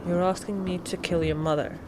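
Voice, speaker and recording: A woman playing a cautious, principled vampire coven master in a scripted ASMR roleplay, measured and a little exasperated. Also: on the low side, fairly even intonation, background noise, natural voice. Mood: hesitant, uneasy.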